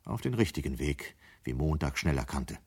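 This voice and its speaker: A man's voice: very deep voice, animated, moderate pace, husky. A man narrating a scripted radio play in German as its storyteller.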